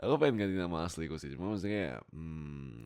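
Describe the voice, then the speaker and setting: Young man, very deep creaky voice. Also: big pitch swings, normal speed, darkish tone. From Indonesia. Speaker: YouTube roleplay voice creator. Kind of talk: casual chat to viewers.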